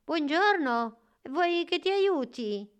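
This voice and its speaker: A woman's voice: very high-pitched, expressive, slow, voice pushed up, full character voice. A woman voicing a kind, eager young boy in a children's adventure story, earnest in manner.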